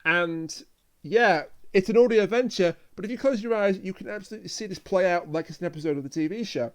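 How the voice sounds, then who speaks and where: A man's voice: high-pitched, fast talker. A man co-hosting a casual review discussion, talking earnestly and repeating himself for emphasis. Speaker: podcast co-host.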